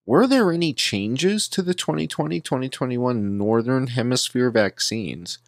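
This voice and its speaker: A man reading a written document aloud as narrator, deliberately, in short, emphatic, measured phrases. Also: medium pitch, expressive, vocal fry, no echo, studio quality.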